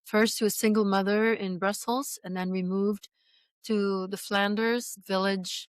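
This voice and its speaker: A woman in her sixties from Belgium, with a smooth, resonant voice recorded close and dry. She talks in a measured, reflective way, trailing off into soft pauses. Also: medium pitch, level tone, no room sound. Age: 60s. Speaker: guest, author and survivor. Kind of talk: conversational interview.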